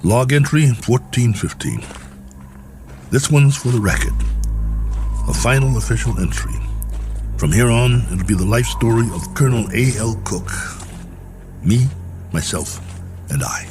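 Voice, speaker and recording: An actor playing a lonely stranded space colonel in a radio drama, musing in a wry, world-weary way. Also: male voice, medium pitch, expressive, a little slow, pauses often, music in the background, close to an everyday voice. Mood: wry, reflective.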